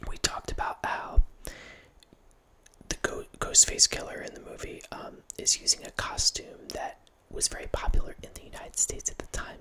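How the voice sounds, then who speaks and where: A man in his thirties whispering a relaxed ASMR ramble as host, close to a studio mic in a small home room, with frequent restarts. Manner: whispering throughout, relaxed film-nerd musing with frequent restarts. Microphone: studio mic close up, headphones on.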